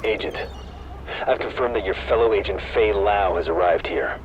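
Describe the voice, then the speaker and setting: An actor playing a businesslike agency contact giving a video game mission briefing. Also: male voice, fairly low pitch, normal speed, close to an everyday voice. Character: brisk male agency contact briefing an agent. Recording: dull, noisy radio-like sound.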